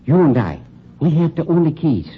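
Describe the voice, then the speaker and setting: A man's voice: a little high, very animated, moderate pace. An actor playing a polished, scheming funeral director who talks down to his night man, in 1940s radio drama style. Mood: menacing. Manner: smooth, condescending, sneering. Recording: dull, noisy old radio recording.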